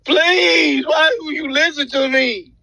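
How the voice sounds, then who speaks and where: A man's voice: very high voice, slow pace, vocal fry, sharp tone, no echo. A young American man crying and pleading in a whiny, upset way into a phone held close. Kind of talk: emotional pleading on a phone call.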